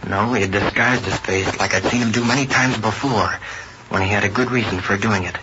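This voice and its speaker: A man's voice: on the low side, brisk, heavy background noise, natural voice. A young man playing a straightforward boy who tells and acts western adventures in old-time radio style, plainly and matter-of-factly. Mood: storytelling. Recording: muffled old radio recording.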